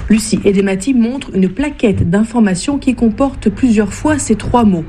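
A woman reporter reading a scripted news report in a measured, even way. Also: medium pitch, a little hiss or hum.

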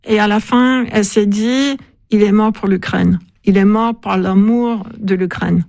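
A woman speaks as an author in a radio interview, her voice gravelly with a slight fry. She picks her words with care and often pauses to search for the next phrase. The recording is close and dry. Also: mid-range voice, fairly even intonation, darkish tone, no room sound. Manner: thoughtful, with frequent hesitations. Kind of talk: recounting a story in a radio interview.

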